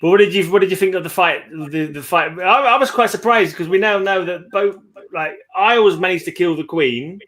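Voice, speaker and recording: Man chatting casually, slightly high voice. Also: a little fast, a bit bright. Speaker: read-along book discussion participant. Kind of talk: casual book chat.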